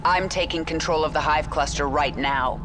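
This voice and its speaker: A woman's voice: deep voice, moderate pace, background noise, close to an everyday voice. A woman voicing a vengeful queen of an alien swarm in a video game, terse, authoritative and menacing. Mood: brisk, commanding. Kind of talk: scripted video game character dialogue. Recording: music behind the voice.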